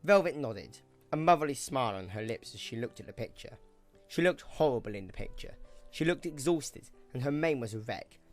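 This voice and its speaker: Lively male storybook narrator, varied pitch. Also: fairly quick, soft background music. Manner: expressive storytelling. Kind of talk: scripted story narration for audio drama.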